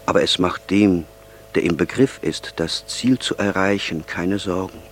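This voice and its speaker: An actor playing an earnest, solemn young man speaking deliberately in an audio drama. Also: low-pitched, fairly even intonation, a little hiss or hum.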